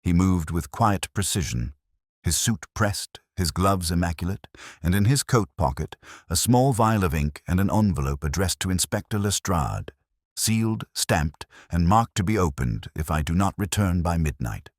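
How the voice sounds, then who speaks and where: Audiobook narrator, male, extremely low register, measured and formal, animated intonation, dry close sound. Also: no room sound. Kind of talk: scripted first-person detective story narration.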